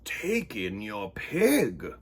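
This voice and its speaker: Man putting on a slow, drawling wise old man. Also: on the low side, wildly swooping pitch, a little hiss or hum, pitched below the performer's own voice, exaggerated voice. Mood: sage. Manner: slow, drawn-out, deliberate. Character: wise old man giving calm, curious advice.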